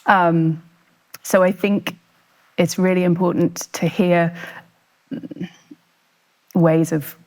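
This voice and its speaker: A woman's voice: fairly low pitch, little pitch movement, slow pace, long pauses, a little mellow, poor audio. A woman talking as a podcast guest, thoughtful and hesitant, often repeating words as she finds them. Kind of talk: casual podcast conversation.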